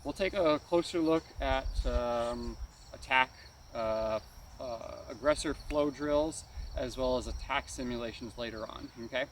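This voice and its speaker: A man's voice: mid-range voice, unhurried. A man giving a casual, hands-on martial arts lesson as an instructor, often checking understanding and punctuating moves with exclaimed sound words. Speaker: karate weapons instructor. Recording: distant mic, noisy outdoor ambience with insects.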